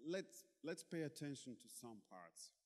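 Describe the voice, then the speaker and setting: A Bulgarian-born man preaching in English, pausing often as he searches for words, with a playful edge. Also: medium pitch, big pitch swings, darkish tone. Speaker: guest preacher. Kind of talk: sermon in English with interpreter. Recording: long hall reverb.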